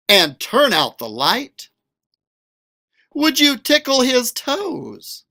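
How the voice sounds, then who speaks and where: A man reads a children's story aloud in a bright, forward voice. His pitch moves in lively swoops and his pace is unhurried. He draws out words and poses each question expressively for young listeners. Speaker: school librarian reading a picture book. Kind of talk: animated read-aloud of a children's picture book.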